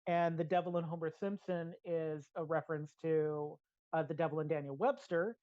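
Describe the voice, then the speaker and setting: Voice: medium pitch, fairly even intonation, moderate pace, dry recording. A podcast co-host chatting casually, explaining details with enthusiasm, on slightly dull, lo-fi audio.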